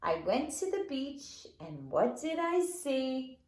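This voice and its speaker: A woman's voice: sits high, big pitch swings, slow pace, a little mellow. A woman nursery school teacher leading children's circle time with rhymes and finger plays in a playful, encouraging, sing-song way. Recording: roomy, echoing indoor sound.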